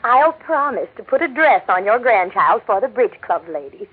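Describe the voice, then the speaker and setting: An actress playing a young expectant wife, a gently firm grown daughter, in a 1940s radio drama. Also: female voice, on the high side, sing-song, normal speed, slightly rough. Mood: mildly protesting. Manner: reasonable, gently protesting. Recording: tinny, muffled 1940s radio recording.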